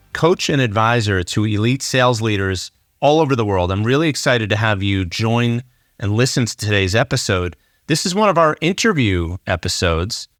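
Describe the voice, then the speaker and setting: Upbeat male podcast host, low voice, dry close-mic sound. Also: fairly bright tone, no room sound. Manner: upbeat and enthusiastic. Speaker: podcast host, sales coach. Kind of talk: podcast interview hosting.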